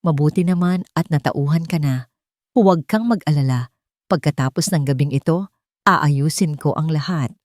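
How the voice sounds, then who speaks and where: A woman storyteller plays a wealthy Filipino mother in a romantic audio story. She is proud and controlling, speaking sweetly with firmness underneath. The voice is low and recorded dry. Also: moderate pace, darkish tone, no echo, natural voice. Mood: sweetly commanding. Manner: sweet with a firm edge.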